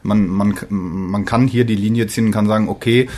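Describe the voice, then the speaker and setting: Slightly low-voiced man, halting delivery. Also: steady tone, a little mellow. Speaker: podcast guest. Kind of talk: casual fan discussion.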